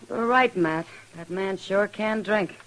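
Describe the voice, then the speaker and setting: Actress as tired frontier saloon lady, american, frank and measured, old radio western style. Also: mid-range voice, a little hiss or hum, lightly acted, low-quality recording. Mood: weary, concerned. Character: weary, worldly, loyal saloon woman. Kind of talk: 1950s western radio drama acting.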